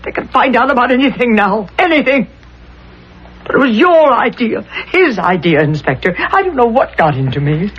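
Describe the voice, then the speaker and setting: An actress playing an anxious, guilt-ridden adulterous wife, fretful and pleading, in 1970s radio drama style. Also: female voice, a little high, sing-song, normal speed, husky. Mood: panicked, accusing. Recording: dull, noisy old radio recording.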